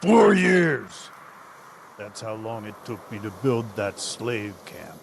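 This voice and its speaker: An actor playing a proud, cruel slave trader in an audio drama, vengeful and deliberate, with theatrical threats. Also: male voice, on the low side, big pitch swings, vocal fry, background noise, exaggerated voice. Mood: boastful, menacing. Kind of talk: audio drama villain acting.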